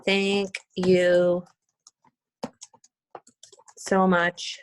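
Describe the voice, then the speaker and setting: A woman's voice: fairly low pitch, monotone, extremely slow, clear, resonant tone, low-quality recording. A woman leading an informal screen-share walkthrough on a team call, talking casually and thinking aloud as she demonstrates. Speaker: team leader giving a tutorial.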